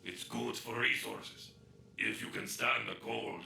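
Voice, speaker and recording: Gravelly, cocky game trader. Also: male voice, medium pitch, fairly even intonation, a little slow, echoey room, noisy background. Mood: smug, helpful. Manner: cocky, teasing, salesman-like. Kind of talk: scripted video game acting.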